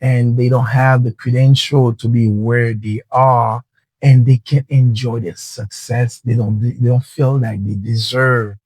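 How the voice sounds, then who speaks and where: A man's voice: medium pitch, level tone, a little slow, darkish tone, breathy edge, no echo, low-quality recording. A man hosts a motivational podcast, speaking earnestly and restarting phrases as he coaches listeners. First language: Haitian Creole. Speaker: podcast host.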